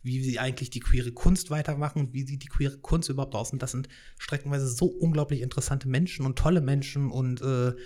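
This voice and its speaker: A man in his thirties, a podcast host from Hannover, talks freely in a casual monologue. He rambles, restarts sentences and fills pauses with small hesitations, at a medium pitch. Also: steady tone, dark tone. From Hannover, Germany. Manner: rambling, many fillers and restarts.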